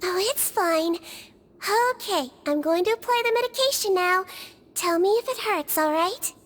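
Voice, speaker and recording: A woman voicing a gentle, caring little nurse creature in a game, speaking softly and patiently. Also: very high-pitched, expressive, moderate pace. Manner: soft, kindly, patient, reassuring. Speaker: head nurse. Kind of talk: game voice acting.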